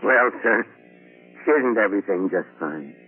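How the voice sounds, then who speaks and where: An actor playing a jovial older father in a vintage radio drama, folksy and drawn-out in his delivery. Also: on the low side, expressive, very noisy. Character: jovial, folksy old navy-family father. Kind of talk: 1950s radio drama acting. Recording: muffled old radio broadcast, music behind.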